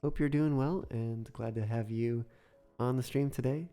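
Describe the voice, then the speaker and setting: A man live-streaming a railway-building game, musing aloud through his sums and greeting viewers in a soft, soothing way while still getting over an illness. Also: medium pitch, fairly even intonation, moderate pace. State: recovering from illness. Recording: faint music under the voice. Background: soft background music.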